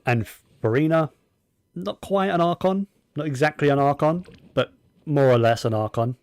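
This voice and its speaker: A man's voice: on the high side, moderate pace, dry recording, clean studio sound. A man giving casual gameplay commentary, rambling and opinionated, often talking to himself as he plays. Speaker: gaming streamer.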